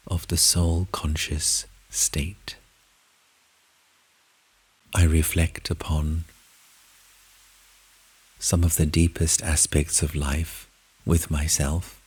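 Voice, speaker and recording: A man with a husky, breathy voice narrates a guided meditation in measured, deliberate short phrases with long pauses. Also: a bass voice, flat delivery.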